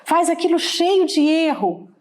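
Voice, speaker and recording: A woman's voice: very high voice, sing-song, measured pace. A woman from Minas Gerais with a Brazilian mineiro accent, preaching in a conversational sermon style and often checking in with her listeners. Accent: Brazilian Portuguese, Minas Gerais. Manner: conversational, asks the listeners for agreement. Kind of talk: sermon teaching.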